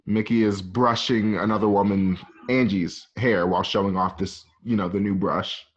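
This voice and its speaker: Male narrator for a script reading: lowish pitch, informal, halting delivery, lo-fi home sound. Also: steady tone. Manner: halting, casual, present-tense scene description. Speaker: narrator reading stage directions. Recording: dull, lo-fi home recording.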